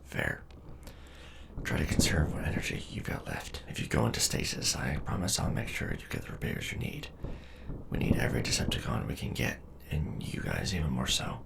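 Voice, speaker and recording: A man playing a gruff, loyal, protective robot soldier in an audio drama, earnest and rough-edged. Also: rumbling low, very animated, moderate pace, exaggerated voice. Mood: reassuring, protective. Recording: very noisy voice track with ambience.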